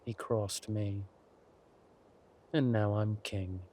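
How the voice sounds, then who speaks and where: Man acting a slow, low-voiced villain king. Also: fairly even intonation, dark tone, slight background noise. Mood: gloating, fond. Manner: slow, deliberate, theatrical, breathy asides. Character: menacing villain king, smug, doting on his consort. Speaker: voice actor in romantic audio roleplay. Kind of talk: intimate scripted villain roleplay monologue.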